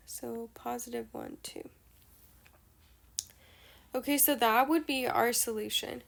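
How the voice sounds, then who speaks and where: A woman's voice: fairly high pitch, gravelly. Nasal young woman teaching math. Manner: patient step-by-step explaining, many fillers. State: nasal from allergies. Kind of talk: explaining a math lesson.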